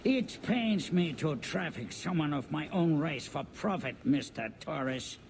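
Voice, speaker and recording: A suave and sinister male slave trader in a game cutscene. He drawls formally and very slowly at a raised pitch. Clear ambient noise runs behind him. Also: animated. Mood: suave, menacing. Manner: slow, formal, drawn-out delivery. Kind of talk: video game cutscene acting.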